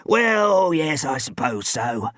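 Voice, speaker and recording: A man's voice: fairly high pitch, big pitch swings, takes it slowly, a bit bright, dry recording, studio quality, pitched above the performer's own voice, heavily acted. A man voicing a plump, genial, puzzled elderly park superintendent with a wheezy voice in a children's story.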